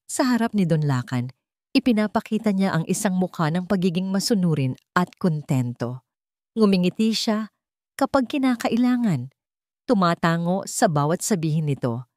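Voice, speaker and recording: A woman's voice: medium pitch, a little mellow, no room sound, studio quality. A woman with a Filipino accent narrating a scripted dramatic story.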